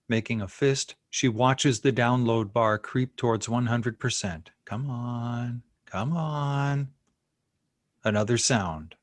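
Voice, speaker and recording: A man narrating a screenplay, reading scene directions in a measured, scripted way. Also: mid-range voice, dry recording, studio quality.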